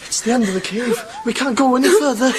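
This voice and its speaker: A man's voice: very high voice, fast talker. An actor playing an eager, nervous young man in a naturalistic radio drama. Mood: terrified. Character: young village lover, eager, nervous boyfriend.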